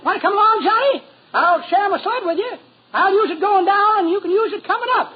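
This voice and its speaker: Very high-pitched spry old-timer. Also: male voice, brisk. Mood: jaunty. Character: spry, jolly old-timer who loves sledding. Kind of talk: 1940s radio comedy acting. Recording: muffled, very noisy 1940s radio recording.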